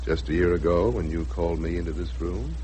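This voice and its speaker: A man's voice: sits low, a little fast, dark tone, lightly acted. An actor playing a suspicious, scheming and smug husband and businessman in a brooding, calculating 1960s radio drama style. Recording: noisy old radio drama recording.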